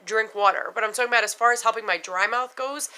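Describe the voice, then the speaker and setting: A middle-aged woman with a bright, clear voice talks straight to the camera in a loose, unscripted vlog. She is frank and chatty, tosses in casual swearing and leans on "you know" and "like" as she goes. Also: a little high, quick pace. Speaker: vlogger sharing denture experiences.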